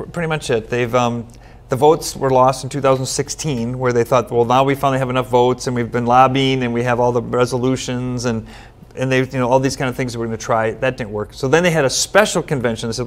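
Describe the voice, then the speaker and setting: This is a middle-aged man from Minnesota, a longtime Methodist pastor, seated at a table in a studio. He explains things steadily and earnestly, with everyday fillers and a voice of ordinary pitch. His words come through clean and close. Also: fairly even intonation, fast. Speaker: United Methodist pastor, guest. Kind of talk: earnest interview answers. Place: studio set with bookshelf backdrop, seated at table.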